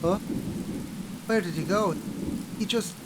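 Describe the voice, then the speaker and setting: A man's voice: high-pitched, takes it slowly, heavy background noise. A man playing a wily, cheeky Irish drinker and trickster in a radio play, wheedling in folksy old-fashioned dialect. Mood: frightened, demanding. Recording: loud music and rain behind.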